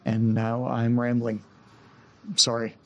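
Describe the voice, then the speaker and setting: A man playing a rattled, apologetic young man in an audio drama, speaking haltingly and stammering in a husky, creaky voice. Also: mid-range voice, completely flat delivery, a bit bright, lo-fi sound. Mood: weary, embarrassed. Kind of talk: naturalistic audio drama acting.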